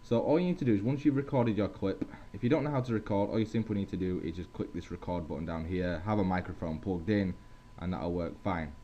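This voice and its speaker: A young man casually talks viewers through a software tutorial. His voice is lowish and very mellow. It is recorded on a muffled headset mic with faint hiss. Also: fast talker. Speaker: video tutorial host. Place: at a computer. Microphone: gaming headset.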